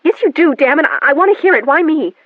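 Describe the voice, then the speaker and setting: A woman's voice: mid-range voice, fairly quick, no room sound, voice pushed up, lightly acted. Woman doing the daughter, cold and pushy, thin phone-ish sound. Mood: demanding. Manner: quiet then insistent, demanding. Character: adopted young adult daughter, cold and accusing. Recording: narrow phone-like band, dry.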